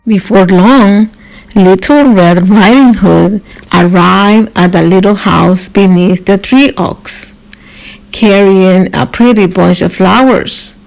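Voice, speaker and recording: A woman narrating a children's storybook as a storyteller, in a measured, expressive and dramatized way. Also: mid-range voice, vocal fry, faint music behind, rough recording.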